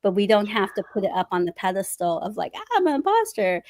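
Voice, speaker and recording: A woman's voice: medium pitch, very animated, fast, darkish tone, no room sound. A woman hosting an interview over a video call, asking questions in a thoughtful, friendly way and laughing easily. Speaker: interview host.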